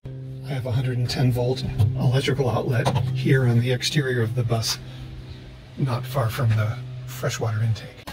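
An older man talks through his work in a steady, matter-of-fact voice, neither high nor low. He explains things plainly, like a patient how-to narrator. A light hiss sits under the recording. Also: moderate pace. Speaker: vlogger.

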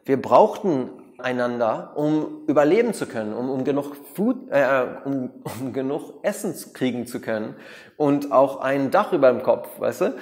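A man's voice: medium pitch, expressive, normal speed, darkish tone, long reverb tail. A man in his thirties from Australia, speaking German as his second language with an English-speaking accent, explaining to camera with frequent fillers and restarts. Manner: hesitant, many fillers and restarts, mixes in English words. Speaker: meditation teacher. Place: bright room with plants, indoors. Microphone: lapel mic.

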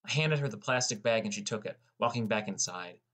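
A man's voice: fairly low pitch, fairly quick, a bit bright. A man playing a sullen, defensive teenage boy who narrates his own story in a scripted audiobook. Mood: narrating.

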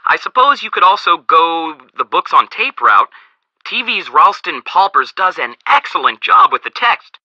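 A chatty young american assistant dude in a game, kinda high voice, really lively, wry and awkward. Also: male voice, moderate pace, no room sound, exaggerated voice. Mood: friendly, awkward. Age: young adult. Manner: casual, wry, animated. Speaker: author's assistant. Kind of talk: video game voice acting. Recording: slightly dull, lo-fi dry game audio.

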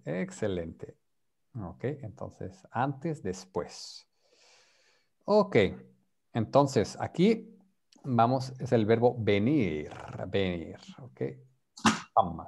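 A man's voice: fairly low pitch, very animated, drawn-out words, creaky, darkish tone, breathy edge. A man teaching an online beginner Spanish class over a video call, patiently prompting students and mixing Spanish with English. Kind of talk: online group language class.

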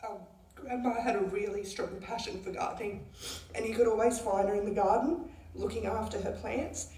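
A woman's voice: mid-range voice, fairly even intonation, moderate pace, warm tone. A young woman speaks casually at a chapel lectern, giving a eulogy for her grandmother with frequent fillers. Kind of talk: memorial eulogy. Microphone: lectern mic, heard from a far camera. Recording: roomy chapel echo from far off.

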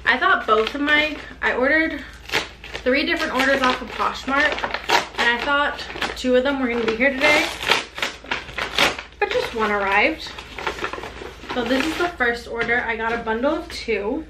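A chatty young woman talks upbeat to a desk camera in a small home room. Her voice sits a little high, and the room gives it a noticeable echo. A faint hiss runs underneath. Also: moderate pace, pauses often. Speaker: reseller vlogger. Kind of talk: casual haul vlog. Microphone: camera on desk at close range.

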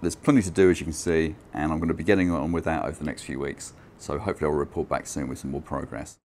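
A man's voice: a bass voice, fairly even intonation, fast, a little mellow, a little hiss or hum. A man in his forties casually walking through a build in his indoor workshop garage, explaining step by step with fillers. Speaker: maker presenting his build.

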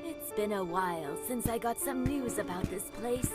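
A woman's voice: medium pitch, animated, normal speed, close to an everyday voice. A woman voicing a polite, formal abbess nun in a video game cutscene. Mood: polite, official. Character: formal adult nun, abbess of a school order. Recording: loud music over the voice.

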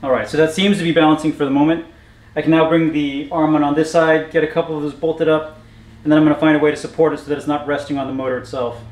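A man with a slightly higher voice explains his motorcycle build in an easygoing, matter-of-fact way, often pausing on "uh". He is recording in a hot, echoey home garage, with a fan humming faintly behind him. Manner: relaxed, matter-of-fact, frequent "uh" pauses. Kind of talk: casual workshop narration. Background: fan noise.

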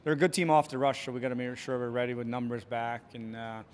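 A man in his forties, a hockey coach answering postgame questions quickly and plainly, with many fillers, into a handheld mic in an arena hallway. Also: mid-range voice, little pitch movement, slight background noise. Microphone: handheld reporter mic.

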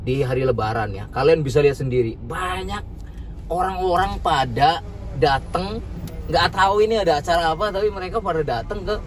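A man's voice: on the high side, fairly quick. A man chatting casually on a walking vlog, rambling and tossing in tag questions as he goes. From Indonesia. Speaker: vlogger. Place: busy city street outdoors. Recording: distant outdoor street recording.

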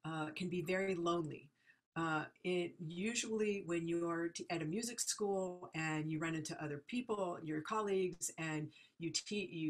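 A woman music educator speaking on a video call panel, thoughtful and hesitant, with many fillers and restarts. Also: on the low side. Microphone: video call laptop mic.

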